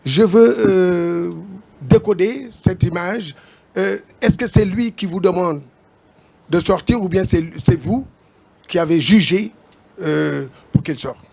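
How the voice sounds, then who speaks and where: Man, slightly high pitch, very unhurried, halting phrases, press-conference question. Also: creaky, darkish tone. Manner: halting, pausing between short phrases.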